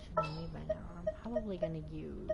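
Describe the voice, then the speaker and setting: A woman's voice: low voice, a little fast, vocal fry, heavy background noise. A woman giving casual commentary as she plays a video game. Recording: loud game music behind.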